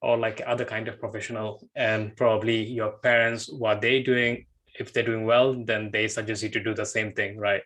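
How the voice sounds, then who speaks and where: A man hosting a podcast, interviewing a guest in a halting way full of "like"s and restarts. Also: on the low side, level tone, fairly bright tone, rough recording. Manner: halting, filler-heavy, many "like"s. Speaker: podcast host.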